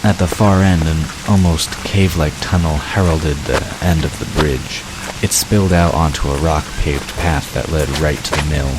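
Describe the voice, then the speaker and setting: A man's voice: extremely low pitch, steady tone, moderate pace. A man reading scripted story narration for an audio drama, with watery ambience behind him. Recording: constant rain-like hiss behind voice.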